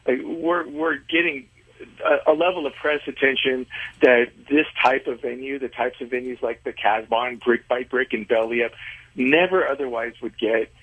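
A man with a husky, rough-edged voice comes through a narrow phone-like line. He speaks as a radio interview guest, earnestly explaining in halting phrases and often doubling back on his words. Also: mid-range voice, fairly even intonation. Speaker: interview guest, independent venue organizer.

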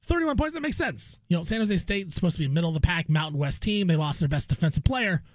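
A man's voice: sits high, expressive, fast, no room sound. A man hosting a sports talk show, chatting casually with joking, self-deprecating asides. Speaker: sports radio host.

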